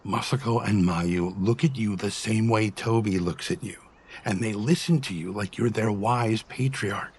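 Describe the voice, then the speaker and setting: Casting: male actor as reassuring middle-aged husband, slightly deep, breathy-rough tone, measured and sincere delivery. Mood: reassuring, tender. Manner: tender, earnest, measured. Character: gentle, reassuring husband comforting his partner. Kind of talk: emotional audio drama dialogue.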